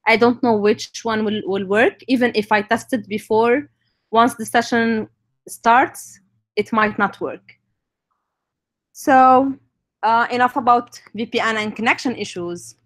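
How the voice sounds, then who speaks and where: A woman's voice: on the high side, unhurried. A woman from Lebanon with a Lebanese accent, presenting an informal online session as the speaker. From North Lebanon. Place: live online stream.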